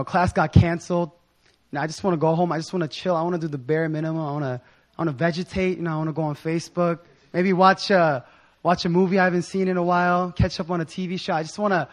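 A young man with a hoarse voice giving an informal sermon in a casual, slangy, excited manner. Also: on the high side, fast talker. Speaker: preacher.

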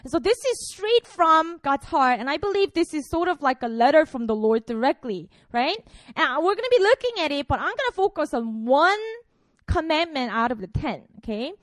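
A woman's voice: high voice, sing-song, normal speed, no room sound. A woman in her twenties from Korea, a pastor preaching a casual, playful sermon to students. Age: 20s. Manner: chatty and playful, frequent fillers.

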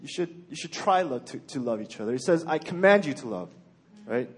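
Even-keeled young man preaching, higher voice. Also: a little fast, breathy edge. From Texas. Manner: even-keeled, plain-spoken, frequent "um" and "okay". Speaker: church speaker. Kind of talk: sermon.

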